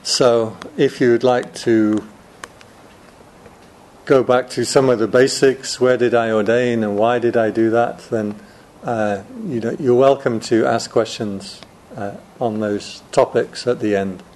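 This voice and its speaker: A man speaking unhurriedly to a student audience as a monk giving a casual Dharma talk. He chats, teases his listeners and laughs at his own jokes, with a middling pitch and faint room noise behind him. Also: steady tone, a little slow, a little mellow. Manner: chatty and joking, laughs often.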